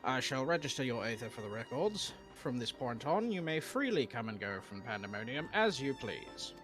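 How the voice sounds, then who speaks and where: A stiff, courtly official speaks in archaic phrases, his pitch rising and falling with lively swings, as a man voices him on a stream. Faint game music runs underneath. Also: normal speed. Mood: stern. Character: formal male official guarding a research facility. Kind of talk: voicing game dialogue on a live stream.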